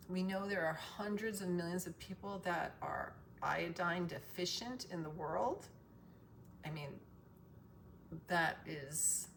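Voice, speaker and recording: A woman giving casual, rambling wellness advice with emphatic swearing and tangents, her voice strained from loud singing. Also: lowish voice, gravelly, slight background noise. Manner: profane, emphatic, goes off on tangents. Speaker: wellness vlogger sharing a self-cure.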